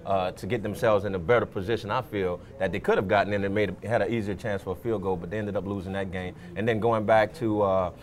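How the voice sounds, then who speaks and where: A man's voice: lowish voice, fairly even intonation, fast, few pauses. A man giving unscripted, opinionated sports analysis as a panelist, repeating his points for emphasis.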